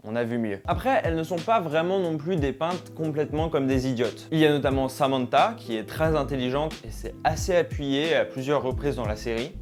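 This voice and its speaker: A man's voice: on the high side, a little fast, few pauses, darkish tone, faint music behind. A young man hosting a conversational video essay, speaking informally and straight to his viewers. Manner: informal, addresses viewers directly.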